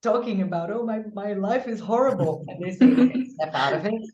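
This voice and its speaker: A middle-aged woman from Brazil, talking as an interview guest in a warm, laughing way with many restarts. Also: mid-range voice, fairly quick, reverberant, muffled recording. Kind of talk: casual interview.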